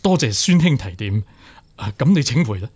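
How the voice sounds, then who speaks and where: An actor with a Hong Kong Cantonese accent playing a hot-headed, righteous young court official, earnest and impassioned, in a radio drama. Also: male voice, medium pitch, sing-song, normal speed, a little mellow, breathy edge. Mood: eager, polite.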